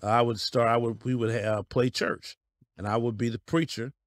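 A man's voice: medium pitch, level tone, normal speed, no room sound, studio quality. A man in his fifties, a pastor from Colorado, telling stories of his life as an interview guest in a folksy way. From Denver, Colorado. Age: 50s. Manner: folksy, storytelling, laughs easily.

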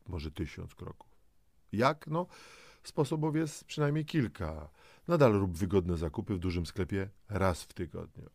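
A man's voice: fairly low pitch, gravelly, darkish tone, no echo, clean studio sound. A man giving semi-scripted motivational narration, coaxing and instructing the listener with rhetorical questions. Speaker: host of a health and lifestyle audio programme.

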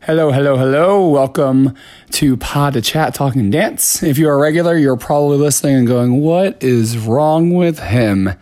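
A man in his thirties talks as a solo podcast host, his voice hoarse and worn after losing it. He speaks candidly, in a chatty, self-deprecating way. His voice sits at an ordinary middle pitch for a man. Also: normal speed, a little mellow. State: hoarse, lost voice. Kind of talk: casual solo podcast talk.

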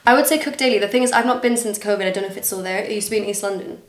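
A woman's voice: mid-range voice, racing. Young woman, international school accent, casual giggly podcast guest, roomy sound. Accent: international school, partly American. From Dubai. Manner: chatty, giggly, trailing fillers. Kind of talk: casual chat. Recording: echoey room.

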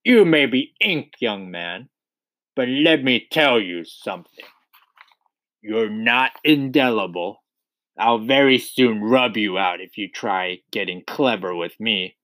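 A man's voice: lowish voice, animated, slow pace, fairly bright tone, no room sound. A man voicing a huge, bullying headmistress from a children's storybook, barking with contempt for small children. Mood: indignant, threatening.

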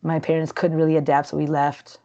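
A low-voiced woman from Peru chats freely as an interview guest, wandering from thought to thought with plenty of fillers and restarts. Her tone is dark and mellow. The recording sounds a bit dull and lo-fi. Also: little pitch movement, brisk. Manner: chatty and rambling, many fillers and restarts. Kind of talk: casual interview conversation.

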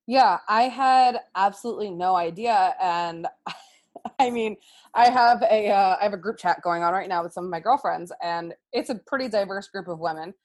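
A woman's voice: medium pitch, normal speed, a little mellow. A young American woman, a sixth grade teacher, speaking as an interview guest in an earnest, explanatory way. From United States. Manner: earnest, explanatory, frequent "um" and "right?". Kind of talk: conversational interview.

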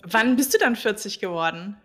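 A woman in her forties co-hosts a podcast in a casual, playful manner. Her voice has a bright, sharp edge at a medium pitch. She laughs easily between questions. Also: animated, normal speed, husky, soft background music. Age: 40s. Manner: playful, laughs often while asking questions. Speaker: podcast co-host.

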